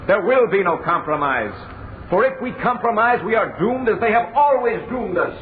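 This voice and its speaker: A fervent young man declaims like a revolutionary at a rally, insistent and rhetorical. His voice is high for a man. The old radio recording is thin, with faint noise. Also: lively intonation, normal speed, phone-quality sound. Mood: solemn, resolute. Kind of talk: old-time radio acting, political speech.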